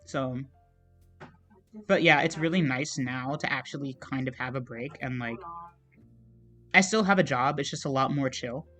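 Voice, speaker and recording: Young man, mid-range voice, casual livestream chat, rambling, soft-spoken, lots of fillers, quiet music in the background. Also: medium pitch, moderate pace, pauses often. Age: young adult. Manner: rambling and meandering, many fillers and trailing-off thoughts; soft, relaxed, self-deprecating talk. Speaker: art streamer.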